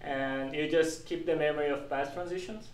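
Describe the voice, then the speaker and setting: A man's voice: on the high side, fairly even intonation, normal speed. A man informally explaining technical material to a small group as a meetup presenter. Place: small glass-walled meeting room. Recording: echoey meeting room, distant mic, faint noise.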